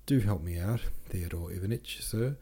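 A man's voice: deep voice, normal speed, dark tone, slightly rough. A man voicing a cheeky, deferential peasant coach driver in his twenties from a novel, boastful in his storytelling. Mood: pleading. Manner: respectful address, boastful storytelling.

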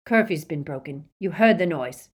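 A woman voicing a stern male dark-wizard henchman in an audiobook, loud, accusing and threatening. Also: low voice, expressive, normal speed, breathy edge.

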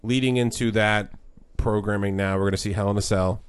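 A man's voice: fairly low pitch, steady tone, a little fast, clean tone. A man co-hosting a podcast, talking casually about wrestling in a rambling way with frequent restarts. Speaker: podcast co-host.

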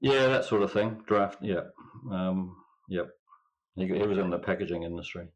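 A man's voice: sits low, fairly even intonation, moderate pace, muffled recording. A middle-aged man with a New Zealand accent, a winemaker guest chatting in an interview with a dry, laconic manner. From England. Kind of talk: casual interview chat.

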